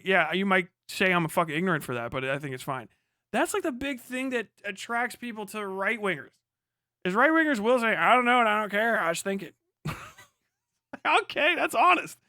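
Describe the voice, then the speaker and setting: A man's voice: sits high, pushed and bright, no echo, clean studio sound. A man hosting a casual livestream, giving blunt, profane, slangy political commentary and talking back to chat. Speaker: livestream host.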